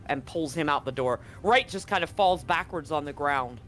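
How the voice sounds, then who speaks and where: A man's voice: on the high side, moderate pace, a bit bright. A man acting as game master, improvising narration and ruling on dice rolls in a tabletop roleplaying game. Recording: music behind the voice. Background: music.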